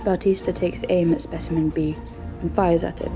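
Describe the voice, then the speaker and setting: Music plays clearly behind a woman reading scripted story narration. Her voice sits low and carries a gravelly creak. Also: flat delivery, normal speed.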